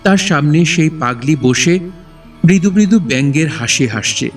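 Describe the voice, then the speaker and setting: Male narrator for horror audio drama: fairly high voice, very measured pace, suspenseful, slightly distant over music. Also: expressive, husky. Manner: suspenseful, deliberate phrasing.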